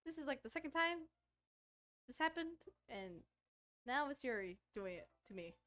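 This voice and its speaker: A woman's voice: sits high, big pitch swings, normal speed, bright, forceful tone. A woman gaming streamer doing casual let's-play commentary, reading a visual novel aloud and often stumbling and repeating words as she reads.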